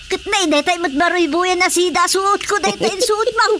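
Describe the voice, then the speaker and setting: A woman playing an excitable, scolding comic villager in a Filipino radio drama. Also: very high voice, moderate pace, slight background noise. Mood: excited surprise.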